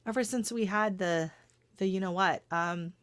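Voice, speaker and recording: A woman's voice: mid-range voice, moderate pace, no room sound. Chatty woman with a tiring voice. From Canada. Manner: chatty, laughs often, greets viewers by name. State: voice going hoarse. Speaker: gardening channel host. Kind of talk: casual livestream chat.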